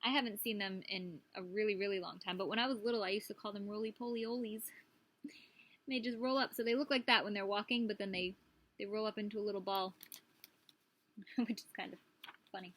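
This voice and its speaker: A woman's voice: medium pitch, steady tone, brisk, long pauses, a bit bright. A woman, a preschool teacher, reading a picture book aloud to young children and prompting them with questions.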